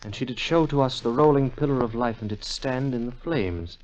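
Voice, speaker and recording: A man's voice: mid-range voice, normal speed, a little mellow, close to an everyday voice. An actor playing an earnest, curious young Englishman of twenty-five in a 1940s radio drama, with a theatrical manner. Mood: puzzled, intense. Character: earnest 25-year-old Englishman, curious heir to a mystery. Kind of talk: 1940s radio drama acting, dialogue and dramatic reading aloud. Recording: dull vintage radio recording.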